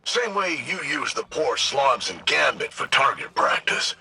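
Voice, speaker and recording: A man's voice: medium pitch, animated, measured pace, gravelly, noisy background. A man playing a sly, mocking undead henchman in a video game, flippant and taunting. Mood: sardonic. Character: mocking, sardonic undead male henchman creature. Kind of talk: theatrical video game voice acting.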